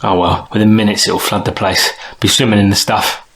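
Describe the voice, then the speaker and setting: Cocky street rogue. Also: male voice, fairly low pitch, level tone, a little fast, a bit bright. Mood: gruff, scheming. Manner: slangy, swearing, curt delivery. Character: rough, cocky young homeless petty criminal, combative and slangy. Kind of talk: audio drama acting.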